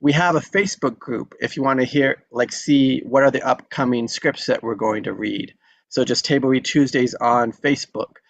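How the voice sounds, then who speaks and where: A man's voice: medium pitch, normal speed, creaky, muffled recording. A man hosting an online table read, chatting casually in an upbeat, rambling, encouraging way. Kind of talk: casual chat. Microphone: video call.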